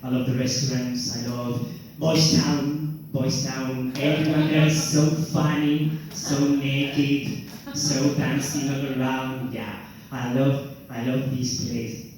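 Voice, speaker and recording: Young man, Spanish accent, stand-up comic, self-mocking and theatrical; stage mic caught far off in a booming room with faint noise. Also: little pitch movement, slow pace, hardly any pauses, a little mellow, heavy reverb. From Spain. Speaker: stand-up comedian. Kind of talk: stand-up routine. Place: comedy club stage. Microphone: handheld stage mic.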